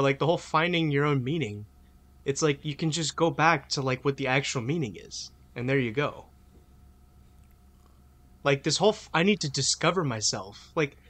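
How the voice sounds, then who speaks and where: A man's voice: on the high side, fairly bright tone. A man co-hosting a podcast, arguing in a rambling way, full of filler words and swearing. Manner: argumentative, emphatic. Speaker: podcast co-host. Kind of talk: casual podcast debate.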